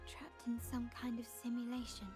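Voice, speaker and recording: A poised young noblewoman voiced by a woman speaks with composure and throws out theatrical lines in combat. Her voice sits on the high side, with game music clearly audible beneath it. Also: monotone, moderate pace, darkish tone, lightly acted. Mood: thoughtful. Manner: composed, theatrical battle cries. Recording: game audio with music behind.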